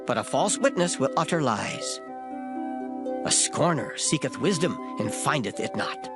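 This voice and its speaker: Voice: mid-range voice, lively intonation, measured pace, close to an everyday voice. A reader giving a dramatized delivery of scripture in formal old English, emphatic and solemn. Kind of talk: dramatized Bible reading, formal King James English. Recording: loud music behind the voice. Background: music.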